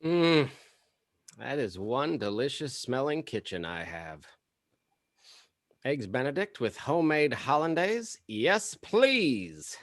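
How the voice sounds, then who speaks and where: A man's voice: medium pitch, big pitch swings, slow. A man playing a wry, exasperated middle-aged dad, dry and sarcastic, in a comedy table read. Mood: delighted, then exasperated.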